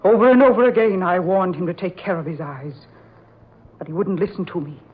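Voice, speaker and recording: Stern older aunt, husky and foreboding, 1940s radio horror, music behind. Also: female voice, lowish voice, fairly quick. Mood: grave, ominous. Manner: imperious, foreboding. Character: stern, domineering, ominous older aunt and house mistress. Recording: dull vintage radio drama recording. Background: organ music.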